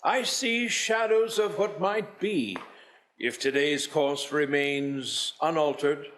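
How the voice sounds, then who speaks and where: A man playing a solemn, knowing ghost who speaks gravely and cryptically in an amateur radio play. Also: on the high side, takes it slowly, fairly bright tone, low-quality recording. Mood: solemn, foreboding. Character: solemn, knowing male Ghost of Christmas Present.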